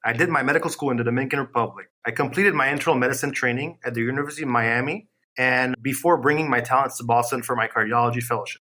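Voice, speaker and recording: Male doctor from Haiti, fast, bright tone. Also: medium pitch, a little hiss or hum. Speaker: cardiology fellow. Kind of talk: self-introduction.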